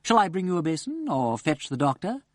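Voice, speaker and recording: A man doing a sardonic upper-class gentleman detective. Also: a little high, big pitch swings, a little fast, no room sound, studio quality, pitched above the performer's own voice. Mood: mocking. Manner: drawling, sarcastic, mocking.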